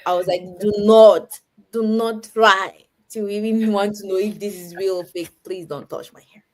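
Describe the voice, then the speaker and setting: A woman's voice: medium pitch, normal speed. Giggly woman from Cameroon. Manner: giggly, animated storytelling. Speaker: podcast guest. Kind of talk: casual chat.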